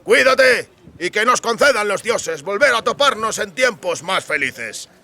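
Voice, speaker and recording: A man's voice: high-pitched, expressive, unhurried, pushed and bright, pitched above the performer's own voice, exaggerated voice. A man voicing a brash, jovial mercenary soldier with a hearty, folksy way of talking in an audiobook. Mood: friendly. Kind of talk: audiobook character acting.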